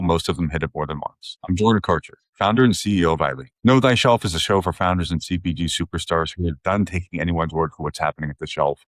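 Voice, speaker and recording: A man hosts an interview podcast in a low voice. He moves briskly through his introductions and questions, and the sound is close and dry. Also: dry recording. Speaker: podcast host.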